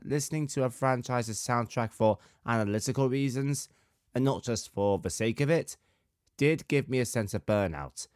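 A man in his thirties, slightly hoarse with a sore throat, hosting a podcast in a measured, articulate, scripted narration style. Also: mid-range voice, no room sound, clean studio sound. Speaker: podcast host, composer.

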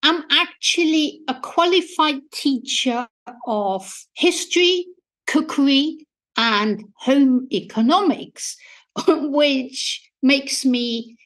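Slow-spoken Austrian-accented woman. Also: high voice, poor audio. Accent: Austrian German. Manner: enthusiastic, measured, with soft laughs. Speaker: food historian guest. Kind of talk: interview conversation.

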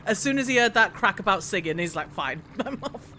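A woman's voice: medium pitch, expressive, fairly quick, sharp tone, slight background noise. A woman bantering casually as a player in an improvised tabletop role-play game.

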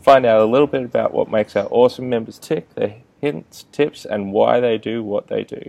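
Gravelly man hosting. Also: medium pitch, normal speed, a little mellow, faint music behind. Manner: friendly, laughs easily, hesitant fillers. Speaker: podcast host, gym owner. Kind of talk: casual interview chat.

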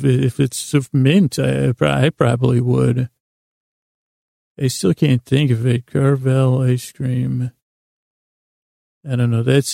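Very gravelly, mellow man rambling softly. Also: medium pitch, no room sound, studio quality. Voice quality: gravelly, creaky. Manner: meandering, halting, self-interrupting, gently silly. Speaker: podcast host. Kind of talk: rambling bedtime monologue.

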